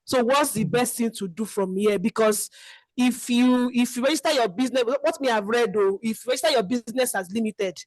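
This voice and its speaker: A woman's voice: a little high, a little fast, no echo, low-quality recording. Woman asking an earnest question. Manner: earnest, talks it through at length. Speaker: webinar host asking a question. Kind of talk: asking a question in an online session. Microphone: separate mic.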